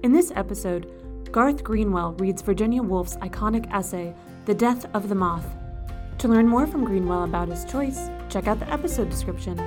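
A woman's voice: mid-range voice, lively intonation, moderate pace. A woman reading a scripted podcast introduction as its announcer. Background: music.

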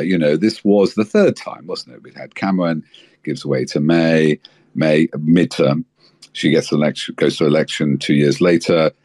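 A man's voice: very deep voice, darkish tone, slightly rough, dry recording, clean studio sound. A man on as a guest, giving fluent and well-informed political analysis. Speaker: guest commentator.

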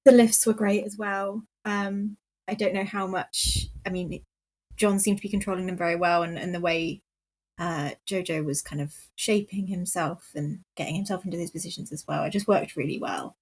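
A woman's voice: lowish voice, fairly even intonation, moderate pace, darkish tone, husky. A woman in casual chat, giving her commentary informally and thinking aloud.

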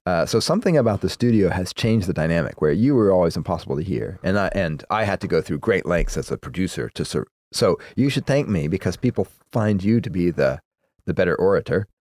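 A man's voice: low-pitched, animated, fairly quick, a little mellow, no echo. A man co-hosting and producing a podcast in casual banter, laughing often and letting his voice trail off at the ends of thoughts. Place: podcast studio. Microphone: studio microphone. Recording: dry studio sound.

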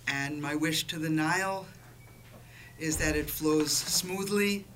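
A woman from New York City who hosts a water program, giving a warm, sing-song wish. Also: sits low, slight background noise, rough recording.

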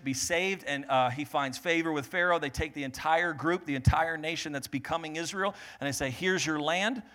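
A man preaching a sermon in a lively, joking way, swelling loud, then dropping to quiet teaching. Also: on the high side. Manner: animated, jokey preaching with sudden loud swells and quiet stretches. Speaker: pastor.

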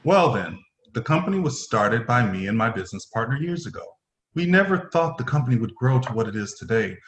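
A man playing a stern, formal middle-aged company executive in a play reading, halting and stumbling over his phrases. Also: mid-range voice, big pitch swings, a bit bright. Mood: formal, guarded. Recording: roomy echoing voice.

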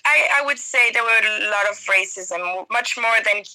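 A woman's voice: medium pitch, moderate pace, pushed and bright, low-quality recording. A young woman from France speaking English with a French accent, answering interview questions in a casual, hesitant way with many fillers and restarts. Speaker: interview guest.

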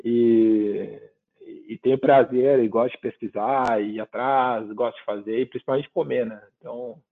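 A man's voice: mid-range voice, fairly even intonation, normal speed, vocal fry. A man from southern Brazil, giving reflective interview answers and often ending with tag questions. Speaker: guest. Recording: phone-like narrow line.

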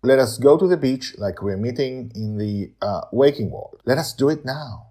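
An eager, sociable female character from an audio story, played by a man. The pitch sits raised and moves around freely, with earnest, emphatic coaxing. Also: lively intonation, normal speed, dark tone, natural voice.

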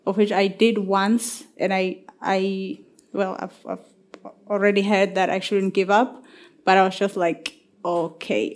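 A woman whose first language is not English answers a question on a panel. She talks slowly and thoughtfully, in a mid-pitched voice. Her manner is personal and reflective. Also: darkish tone. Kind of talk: panel discussion.

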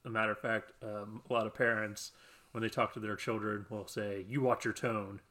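Low-voiced man chatting casually. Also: level tone, moderate pace, bright, forceful tone. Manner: rambling, self-deprecating, laughs often. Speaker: podcast co-host. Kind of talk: casual chat.

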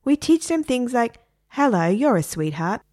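A woman's voice: a little high, very animated, moderate pace, a little mellow, pitched above the performer's own voice. A woman voicing a breezy, salesy pet shop keeper in a read-aloud story.

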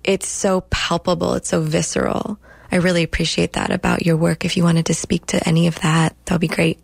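A woman radio host interviewing a guest in a deliberate, appreciative way. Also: lowish voice, little pitch movement, gravelly, slightly rough, no echo.

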